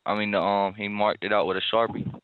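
A man's voice: lowish voice, level tone, fairly quick, slight background noise. A man talking in a slangy, rambling way as a prank caller on a casual phone call. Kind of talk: casual phone call, feigning a tech problem. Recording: muffled narrowband phone call.

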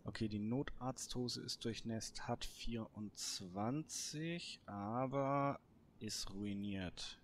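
A man giving casual let's play commentary as host, thinking aloud in short, halting phrases. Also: on the low side, a little hiss or hum. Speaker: host of a gameplay series.

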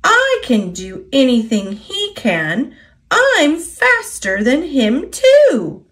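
A woman's voice: high-pitched, wildly swooping pitch, extremely slow, fairly bright tone, voice pushed up, heavily acted. An American woman voicing an eager, competitive young female steam engine in a storybook, boastful and impatient.

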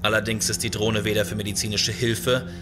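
An actor playing a calm, decisive starship captain in a sci-fi audio drama, commanding and matter-of-fact. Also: male voice, on the low side, fairly quick, music in the background, lightly acted. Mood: firm command.

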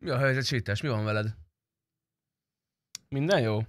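A man's voice: medium pitch, no room sound. Dry-sounding casual man streaming. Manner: informal, slangy, swearing. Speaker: game streamer. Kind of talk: casual livestream chat.

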